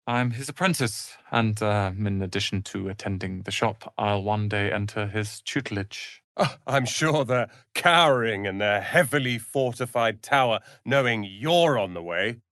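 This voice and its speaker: A man's voice: fairly low pitch, moderate pace, few pauses, fairly bright tone, dry recording, clean studio sound. A man voicing a haughty, sardonic young wizard's apprentice in a video game, with a pompous, theatrical delivery. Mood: scornful. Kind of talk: dramatic video game voice acting.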